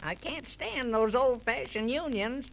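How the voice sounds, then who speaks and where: A performer voicing a dim professor who gives slow, drawn-out deadpan answers in a 1930s radio comedy. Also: mid-range voice, creaky. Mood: dopey deadpan. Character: dim, slow-talking professor giving absurd advice. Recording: noisy old 1930s transcription disc.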